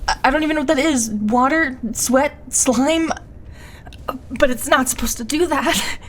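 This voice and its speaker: A woman playing a distressed, apologetic but stubborn young woman in an intimate audio roleplay, stammering and halting. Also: sits high. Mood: panicked, disgusted. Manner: halting, stammering, breathy asides to a partner.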